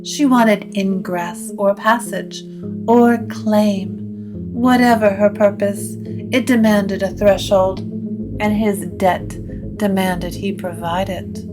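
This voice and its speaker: Measured, slow-paced woman narrating supernatural fiction. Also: medium pitch, fairly even intonation, over music. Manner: measured, literary storytelling.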